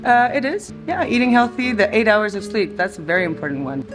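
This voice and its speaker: A woman's voice: mid-range voice, very animated, fairly quick, over music. Chatty woman DJ from France. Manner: easygoing, chatty, laughs often. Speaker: DJ and singer being interviewed. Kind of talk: casual chat.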